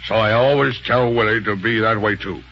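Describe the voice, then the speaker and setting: An actor playing an excitable, doting, uneducated adventurer who stammers in folksy grammar, in 1930s radio serial style. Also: male voice, on the low side, brisk, close to an everyday voice. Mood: relieved, proud. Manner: stammering, folksy ungrammatical speech. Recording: muffled, noisy old radio recording.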